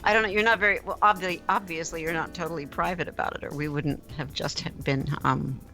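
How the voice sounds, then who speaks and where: Female co-host, low register, halting reactive chat, music behind. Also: steady tone, pushed and bright. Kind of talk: casual chat.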